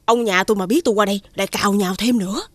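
A woman voicing a sensible, supportive village neighbour in an animated folktale, frank and reassuring. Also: medium pitch, rapid-fire, dry recording, close to an everyday voice.

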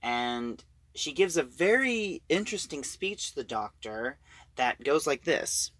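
A man giving an informal story recap as a reviewer, talking in a halting, conversational way. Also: on the high side, sing-song, a bit bright, dry recording. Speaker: audio drama reviewer.

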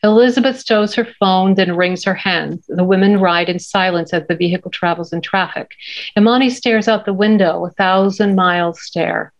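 A woman's voice: medium pitch, fairly even intonation, normal speed. A middle-aged woman reading screenplay stage directions as narrator on a video call. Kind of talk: scripted narration at a table read. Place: indoor home room, video call. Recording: dull video-call audio.

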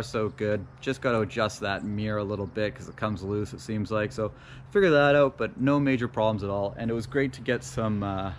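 A middle-aged man with a slightly low voice chats easily to a camera held at chest distance. He sounds relaxed and upbeat, dropping casual fillers as he wraps up. A faint outdoor hush sits behind him. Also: a little hiss or hum. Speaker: car channel host. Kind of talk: casual vlog outro to camera. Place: outdoors on a residential driveway.

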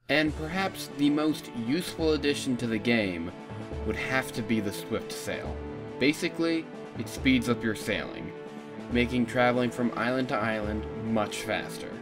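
A man giving a casual, scripted opinion narration as a video game reviewer. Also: lowish voice. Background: background music.

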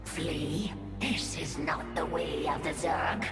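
A woman voicing a proud, ferocious alien swarm broodmother in a video game, imperious, deliberate and menacing, devoted to her queen. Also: low-pitched, vocal fry, heavily acted. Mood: grave, resolute. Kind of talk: video game character acting. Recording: echoing, reverberant, distant, over game music.

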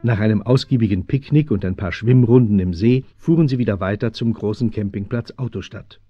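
A man as the measured, suspenseful narrator of a German children's radio play, with a husky voice. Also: on the low side, dark tone, dry recording, lightly acted. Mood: storytelling. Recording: muffled 1970s radio play recording.

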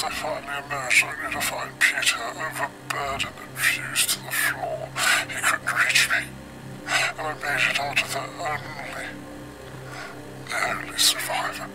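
An actor playing a grim scientist survivor, narrating research logs for a game in a hushed, breathy, measured and dramatic way. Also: male voice, a little high, low-quality recording. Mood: haunted, bitter. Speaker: narrator of in-game cassette recordings.

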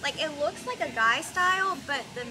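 A woman's voice: high-pitched, animated, moderate pace. A woman in her twenties chatting casually as the host of a shopping vlog. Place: large retail store. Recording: busy store background noise.

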